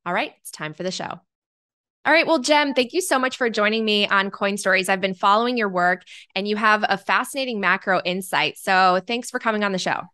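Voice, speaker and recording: A woman's voice: mid-range voice, a little fast, sharp tone, smooth. A woman from Chicago hosting her podcast, reading her intro and sponsor spots and chatting with a guest in an upbeat, enthusiastic way. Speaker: podcast host.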